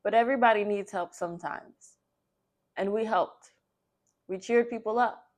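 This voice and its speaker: A woman's voice: mid-range voice, moderate pace, lightly acted. A woman reading the part of an earnest, kindly little girl in a storybook.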